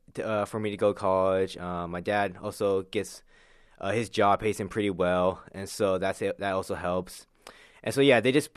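A young man from Arizona, a college student, talking about his life in a personal interview, hesitant with many pauses and restarts. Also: sits low, clear, resonant tone, no echo, studio quality. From Arizona, USA. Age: young adult.

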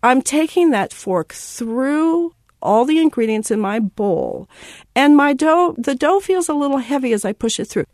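A woman, a baking expert, walks a caller's question through step by step. Her pitch moves a lot as she explains. The sound is dry and close. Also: expressive, a little slow, darkish tone, dry recording. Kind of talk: instructional interview.

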